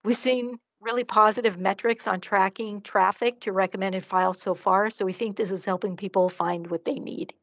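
Female online presenter, mid-pitched, explanatory, close dry sound on a slightly dull meeting line. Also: fairly even intonation, moderate pace, few pauses, a bit bright, no echo. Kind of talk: slide presentation and Q&A answers. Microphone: video call.

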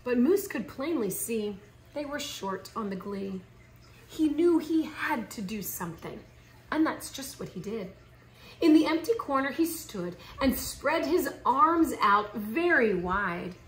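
Woman, children's read-aloud, slow pace, theatrical emphasis, swooping intonation, roomy living-room sound. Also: fairly high pitch. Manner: animated, theatrical, drawn-out emphasis. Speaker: teacher reading a picture book aloud. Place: living room at home, indoors. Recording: roomy home recording.

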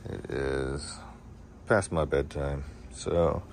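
A man casually talking through his boatbuilding project in a home garage workshop, relaxed and easygoing, recorded on a handheld phone. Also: a bass voice, drawn-out words, creaky, a little hiss or hum. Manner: relaxed, easygoing, thinking aloud.

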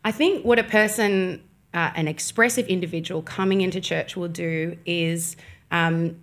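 A young woman speaks in a lower register with a gravelly edge. She explains ideas in a measured, thoughtful way, pausing often with small fillers. Recorded close on a podcast mic in a studio. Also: fairly even intonation. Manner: measured, explanatory, many "um" pauses. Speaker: guest on church music. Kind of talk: thoughtful interview answers. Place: studio set with armchairs. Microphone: boom-mounted podcast mic.

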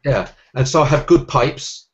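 A man in his forties with a Southern English Sussex accent, a magician speaking with crisp diction and quick energy in a casual video-call interview. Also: medium pitch, lo-fi sound. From England. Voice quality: clear diction. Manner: fast, energetic talker, gestures while speaking. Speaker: magician guest. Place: room at home, indoors. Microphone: webcam on a video call.

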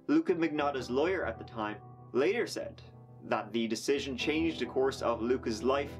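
A young man tells a true crime story in an even middle voice and slips in wry, sarcastic remarks. Soft music plays under his narration. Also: mid-range voice, moderate pace. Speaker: documentary narrator.

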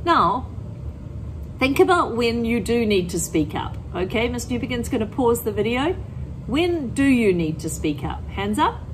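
A middle-aged woman speaks as a primary school teacher, with a highish voice that rises and falls in very lively fashion. She explains simply and instructively, as if to young children, and reads storybook style. Some background noise sits behind her. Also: unhurried, rough recording. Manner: instructive, expressive, plain-worded explaining. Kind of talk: teaching and reading a picture book aloud to children.

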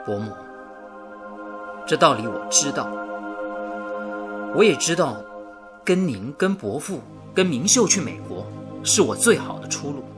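A conflicted, dutiful young man in a radio drama. He is heartfelt and polite, with expressive pitch movement and music clearly behind him. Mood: apologetic. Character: conflicted, dutiful young engineer, sincere and apologetic.

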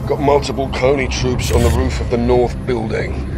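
An actor playing a watchful, businesslike soldier on surveillance, giving clipped tactical calls in a military game. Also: male voice, medium pitch, fairly even intonation, normal speed, close to an everyday voice. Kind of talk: military game radio-call acting. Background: loud dramatic music.

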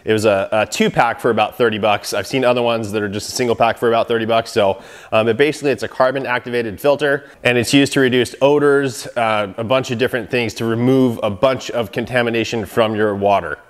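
A man's voice: mid-range voice, steady tone, fast talker. A man in his thirties, a professional car detailer, casually explaining a product test in his shop with frequent fillers and restarts.

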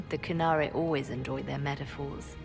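An actress voicing a poised, calm woman spymaster and former bard in a video game, speaking in a measured, softly formal way. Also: extremely low pitch, vocal fry, music in the background.

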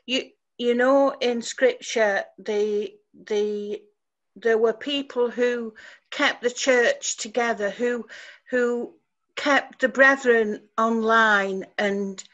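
Older lady on a video call, talks slow, lots of ums. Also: on the high side, steady tone, a bit bright, muffled recording. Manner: slow, hesitant. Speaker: caller. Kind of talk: casual chat.

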